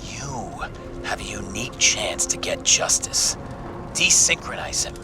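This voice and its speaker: An actor playing a sinister mastermind in a noir video game, mocking, cryptic and deliberate. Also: male voice, on the low side, sing-song, creaky, exaggerated voice. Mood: zealous, persuasive. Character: sinister, taunting male mastermind on a secret line. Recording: loud music over the voice.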